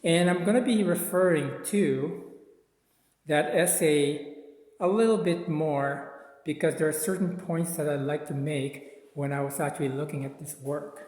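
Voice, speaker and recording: A man's voice: on the high side, measured pace, darkish tone, poor audio. Chatty man around sixty, raised voice. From Philippines. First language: Tagalog. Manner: chatty, discursive, with frequent um and uh. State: slightly stuffy from sinuses. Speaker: art channel host. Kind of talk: casual gallery walkthrough vlog. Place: city street outside, then indoors in a gallery.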